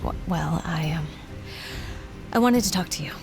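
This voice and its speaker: A woman's voice: low-pitched, animated, measured pace, gravelly, music in the background, heavily acted. A woman playing a wry, capable submersible pilot and mother in an audio drama. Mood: tender, hurt.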